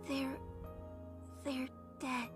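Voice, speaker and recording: A woman's voice: high voice, level tone, extremely slow, over music. A woman voicing a sweet, earnest and brave young orphan girl in an English-language video game. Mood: subdued, sincere.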